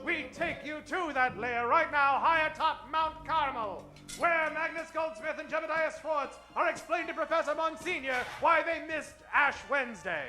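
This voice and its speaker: A man announcing and narrating an old-time radio serial, booming and theatrical, with drawn-out emphasis on key words. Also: bright, forceful tone, faint music behind.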